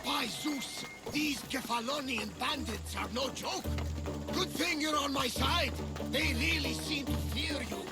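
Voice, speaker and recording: An actor playing a weathered, hearty one-eyed sea captain and former soldier in a video game, telling things in a theatrical, expansive way with a gravelly voice. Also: male voice, very high voice, a little slow. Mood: grateful, jovial. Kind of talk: video game cutscene acting. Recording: music behind the dialogue.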